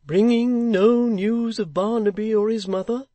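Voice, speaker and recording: A man's voice: fairly high pitch, big pitch swings, slow pace, no echo, pitched above the performer's own voice, heavily acted. A man reading aloud, voicing a kindly, plain-spoken middle-aged locksmith who talks earnestly and respectfully. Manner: earnest, respectful, gently persuasive. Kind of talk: audiobook character voice.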